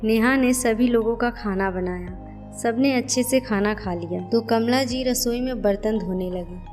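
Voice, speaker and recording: A woman's voice: on the high side, unhurried, a little mellow, soft background music. A woman narrating a scripted moral family story.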